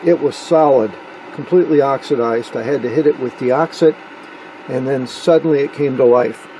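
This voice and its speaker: A man with a husky voice giving a casual, hands-on technical commentary, thinking aloud as he works. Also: mid-range voice, moderate pace, warm tone, a little hiss or hum, poor audio. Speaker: host of an electronics repair vlog.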